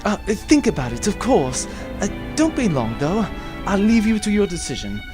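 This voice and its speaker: A man's voice: sits high, very animated, moderate pace, music in the background. A man playing a young noble rebel leader in an audio drama, pompous and easily flustered. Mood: pleading.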